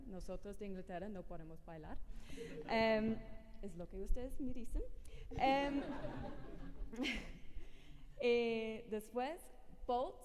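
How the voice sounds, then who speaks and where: A woman from England speaking Spanish with an English accent, slow and careful, from prepared notes. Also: mid-range voice, a little mellow, big-room sound. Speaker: program director. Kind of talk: short personal introduction on a panel.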